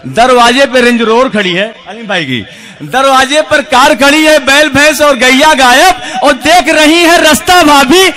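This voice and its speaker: A man's voice: very high voice, measured pace, bright, forceful tone. A man reciting poems in rhythmic verse at a poetry evening, speaking into a stage microphone. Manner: rhythmic declamation with refrains. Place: large outdoor stage with a crowd.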